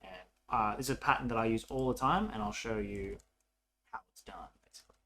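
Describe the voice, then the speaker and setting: A man's voice: medium pitch, sing-song, brisk, gravelly, a bit bright, slight background noise. A man narrating a casual coding tutorial as an instructor, informal and thinking aloud as he works.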